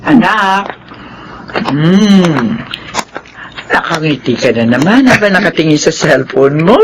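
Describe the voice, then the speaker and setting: A woman playing a warm, encouraging middle-aged mother in a Filipino radio drama. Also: sits low, sing-song, takes it slowly, background noise, exaggerated voice. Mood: teasing.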